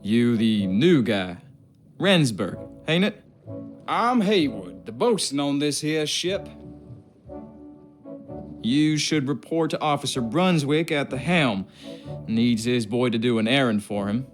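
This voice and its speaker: A domineering bosun in a period adventure game, taunting and commanding. His delivery is slow and swings widely in pitch, with music behind. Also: animated. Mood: brisk, businesslike. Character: gruff, mocking, bossy bosun on a merchant ship. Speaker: actor in a narrative adventure game. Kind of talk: theatrical period drama game acting.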